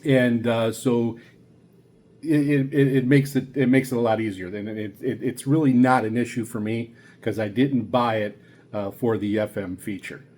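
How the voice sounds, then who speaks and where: A middle-aged man giving a casual, unscripted equipment review as a video host, explaining in a halting, repetitive way. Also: mid-range voice, steady tone, a little mellow. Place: small indoor room at a radio desk.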